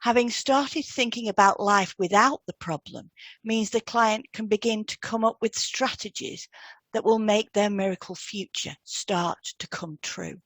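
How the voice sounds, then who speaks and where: A woman's voice: mid-range voice, a little slow, a bit bright, no echo. A woman co-hosting a podcast, reading scripted instructional narration. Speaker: podcast co-host, hypnotherapist.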